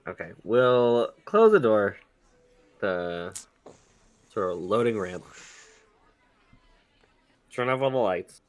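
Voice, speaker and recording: Slow, low-voiced man playing a tabletop game. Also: sing-song, smooth, lo-fi sound. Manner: hesitant, searching for words. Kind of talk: improvised roleplay narration.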